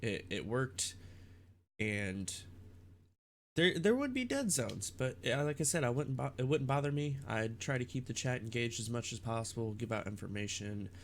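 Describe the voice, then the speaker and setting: A young man with a congested, stuffed-up nose, rambling in a halting casual vlog about his streaming. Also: medium pitch, animated, a little hiss or hum. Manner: rambling, halting with many restarts and fillers. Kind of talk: casual vlog talk to camera.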